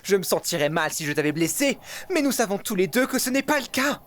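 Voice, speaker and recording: A woman's voice: medium pitch, sing-song, a little fast, close to an everyday voice. A woman voicing a theatrical male demon prince in a fan-dubbed adult cartoon. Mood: weary, resolute. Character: lovestruck, theatrical male demon prince, weary married father. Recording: music behind the voice.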